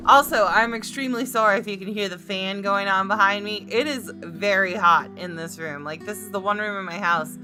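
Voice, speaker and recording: A woman's voice: mid-range voice, fairly quick, few pauses. A woman hosting a gaming video from a room at home, mixing informal commentary with reading game text aloud. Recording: faint music behind the voice.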